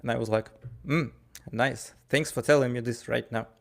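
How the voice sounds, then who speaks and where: Ukrainian guy w gravelly creaky voice, casual storytelling, trips over his words a lot, acts out convos. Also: mid-range voice. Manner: halting, stammering over words, animated storyteller acting out dialogue. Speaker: wrestling ring announcer and commentator. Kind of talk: casual spoken anecdote.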